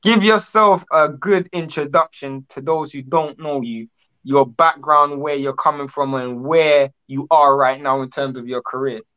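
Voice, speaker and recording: A man's voice: on the high side, a little slow, clean tone, dull audio. A man co-hosting a boxing interview over a video call, chatty and prone to restarts. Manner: chatty, talks in restarts and long lists. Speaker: co-host.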